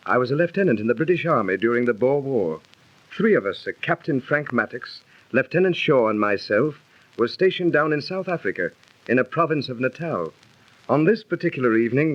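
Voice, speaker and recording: Actor as expressive British army officer, old radio. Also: male voice, mid-range voice, a little fast, close to an everyday voice. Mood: reminiscing. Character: British ex-army officer, gentlemanly storyteller, superstitious. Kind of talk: 1930s radio acting. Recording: dull lo-fi 1930s radio recording.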